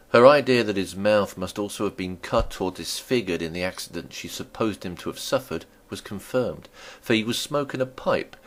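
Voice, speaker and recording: A male audiobook narrator with a fairly low voice. His literary storytelling is dramatic, with pitch that rises and falls widely through each sentence.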